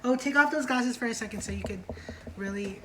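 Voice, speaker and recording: A woman's voice: a little high, brisk, background noise. A woman hosting a casual review vlog, chatting in a slangy way and often checking for agreement. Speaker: vlog host reviewing a TV show.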